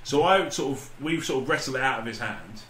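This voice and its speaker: A man's voice: mid-range voice, lively intonation, a little fast, a bit bright. A man chatting casually as a podcast host among friends, telling stories in a sweary, joking way. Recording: echoey room, faint background noise.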